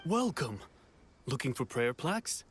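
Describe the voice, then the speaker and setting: Courteous stallkeeper, mid-pitched voice. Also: male voice, very animated, a little slow, slight background noise. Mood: welcoming. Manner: polite vendor patter. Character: courteous festival stallkeeper, helpful and welcoming. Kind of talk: anime game voice acting.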